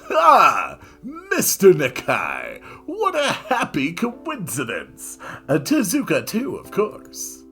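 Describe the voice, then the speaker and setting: A loud, bouncy male head nurse played by a man reading aloud from a visual novel. He is chummy and grinning, pitched up, stretching his words with emphasis. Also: huge pitch swings, gravelly, soft background music, heavily acted. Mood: jovial. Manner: emphatic, drawn-out, chummy delivery.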